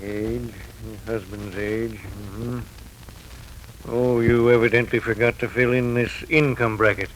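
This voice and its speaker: Actor as low-voiced, formal radio judge. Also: male voice, fairly even intonation, unhurried, natural voice. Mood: polite, businesslike. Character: unhurried, formal male judge. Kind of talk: 1940s radio drama acting. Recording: slightly noisy 1940s radio recording.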